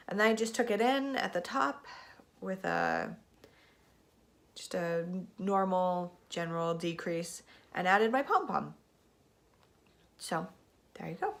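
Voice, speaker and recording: A woman's voice: lowish voice, fairly bright tone. A woman in her thirties hosting a casual knitting podcast from home, stuffed up with a cold and chatting in a rambling way with plenty of ums. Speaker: podcast host. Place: at home, seated in a spinning chair in front of a camera. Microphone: camera mic.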